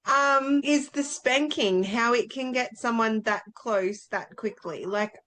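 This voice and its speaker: A woman's voice: a little high, takes it slowly, fairly bright tone. A woman co-hosting a casual book discussion, talking informally and thinking aloud as she goes. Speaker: book club podcast co-host.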